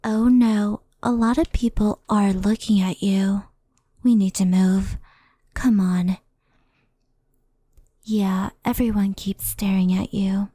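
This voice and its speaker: A woman playing a gentle, anxious, centuries-old fox spirit in an ASMR roleplay, speaking softly and often whispering close to the microphone. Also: mid-range voice, fairly even intonation, no room sound. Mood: anxious, hushed. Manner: soft, breathy, often whispered, unhurried.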